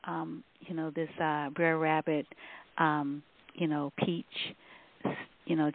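A woman radio host asks her guests long, meandering questions, pausing often to search for words. Her voice sits low and gravelly, and she speaks slowly. The sound is dry and narrow, like a phone line. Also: dry recording. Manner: slow, halting, with many fillers. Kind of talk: interview questions.

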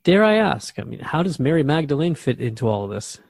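A man's voice: on the high side, sing-song, brisk, vocal fry, no echo, studio quality. A man acting as a radio host in a conversational drama, talking in an inquisitive, reflective way.

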